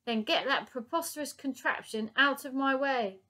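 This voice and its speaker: A woman's voice: sits high, animated, moderate pace, pushed and bright, pitched above the performer's own voice. A woman voicing a vengeful old male professor who speaks in a pompous, gloating manner.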